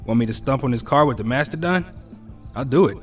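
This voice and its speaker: A man's voice: mid-range voice, fairly quick, far from the mic, soft background music, natural voice. A man playing a brash, teasing young superhero in an audio drama, blunt, slangy and loyal. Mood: protective.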